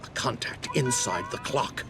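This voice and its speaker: An actor playing an older alien general and mentor in a game, speaking in a measured, solemn way. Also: male voice, medium pitch, noisy background, close to an everyday voice.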